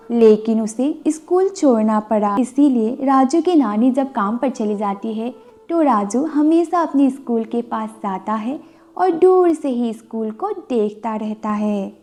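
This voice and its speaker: A woman's voice: fairly high pitch, mellow. A woman narrating a scripted moral bedtime story as a storyteller. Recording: music bed under the voice. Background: background music.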